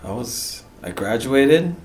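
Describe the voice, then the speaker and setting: A young man in a mid-pitched voice chats casually as a guest, often stumbling over and restarting his sentences. A faint noise hangs behind him. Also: expressive, a little mellow. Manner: halting, stammering restarts. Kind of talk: casual chat.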